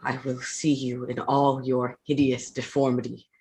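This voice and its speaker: A woman playing an anguished, stubborn young scientist in a staged gothic reading, intense and halting. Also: a bass voice, flat delivery, dark tone, slight background noise. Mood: vengeful grief. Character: Victor, anguished, grief-stricken young scientist, stubborn and wild.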